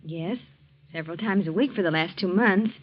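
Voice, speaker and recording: A woman's voice: lowish voice, moderate pace, close to an everyday voice. An actress playing a proud, determined young woman from the poor side of town in an old-time radio drama, speaking with defiant emphasis. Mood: cool, guarded. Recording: muffled old radio recording.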